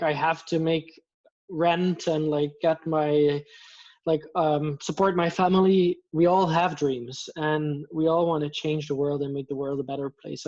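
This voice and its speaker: A man's voice: fairly high pitch, a little mellow. Upbeat Belgian man on a muffled call. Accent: Belgian Dutch. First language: Dutch. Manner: enthusiastic, talks with his hands. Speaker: guest. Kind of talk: casual interview over video call. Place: home room with plants and posters. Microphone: headset headphones on video call. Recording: muffled lo-fi video call audio.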